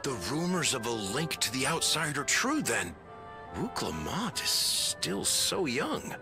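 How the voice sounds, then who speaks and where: An actor playing a humble, courteous village leader in a video game, polite and affable with a halting, musing delivery. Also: male voice, medium pitch, very animated, vocal fry. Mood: warm, welcoming. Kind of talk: scripted video game cutscene acting. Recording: game music behind.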